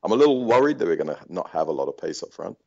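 A man with a low, creaky voice chats about sport as a podcast panellist. He is hesitant and repeats himself. The recording is dry and somewhat dull. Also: big pitch swings, very fast, dark tone, no room sound. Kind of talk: casual sports discussion.

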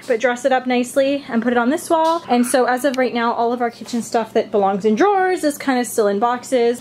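A woman's voice: fairly high pitch, a little hiss or hum. A young woman in her twenties chatting casually while filming a vlog tour of her messy house, holding the camera at arm's length. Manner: chatty, rambling, laughs often. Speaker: family vlogger. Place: small house indoors, rooms mid-move. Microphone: handheld camera at arm's length.

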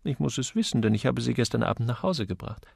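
A man's voice: medium pitch, expressive, fast talker, gravelly, husky, dry recording, clean studio sound, heavily acted. A man voicing a witty, flippant upper-class amateur detective in an audiobook, chatty, wry and urbane. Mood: amused, flippant.

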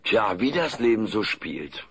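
Cheeky low-voiced alien wisecracker. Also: male voice, sing-song, measured pace, breathy edge, exaggerated voice. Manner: brash, sarcastic, cocky. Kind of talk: German comedy audio play dub. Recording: noisy old cassette recording.

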